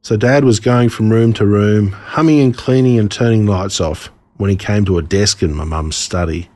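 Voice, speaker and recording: An Australian man reading his own humorous stories as scripted narration, in an expressive, wry way. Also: lowish voice, moderate pace.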